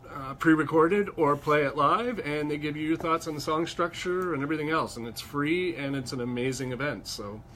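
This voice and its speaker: A man's voice: on the high side, fairly quick, few pauses, a bit bright, slight background noise. A man co-hosting a music show, casually running through upcoming concerts in a friendly, informative way. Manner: friendly, informative, slight laughs and fillers. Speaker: co-host of a music news show. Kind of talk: casual chat, event listings.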